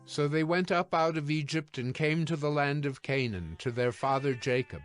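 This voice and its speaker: Male scripture narrator over soft music. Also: fairly high pitch, moderate pace. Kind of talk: scripted dramatized Bible narration. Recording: music behind the voice.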